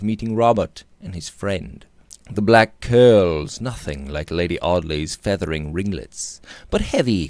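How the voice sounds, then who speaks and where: A man in his thirties reading a Victorian novel aloud with theatrical, deliberate phrasing, close to the microphone in a small room. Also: lowish voice, a little mellow, no room sound. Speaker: audiobook reader. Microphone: close studio mic on boom arm.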